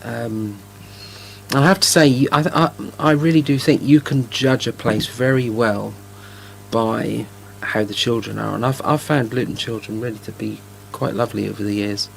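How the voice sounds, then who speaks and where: A middle-aged man from Norwich co-hosting a local radio chat, talking in a halting way and often repeating words as he starts a thought. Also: mid-range voice, steady tone, vocal fry, slight background noise, rough recording. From Norwich, Norfolk, England. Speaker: radio co-host.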